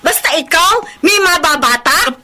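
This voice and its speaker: A woman's voice: very high voice, lively intonation, moderate pace. A woman playing a distressed young wife and mother in a Filipino radio drama, speaking emotionally. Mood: angry, reproachful. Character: distressed young wife and mother confronting her addict husband.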